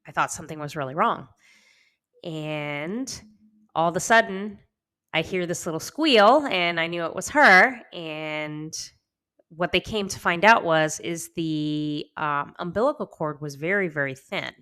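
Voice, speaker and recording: A woman's voice: lowish voice, unhurried, pushed and bright, smooth. A woman in her thirties hosting a podcast, candidly telling her own story to listening moms, a little congested and nasal from a cold. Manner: candid, reassuring, speaks straight to listening moms. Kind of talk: personal storytelling monologue.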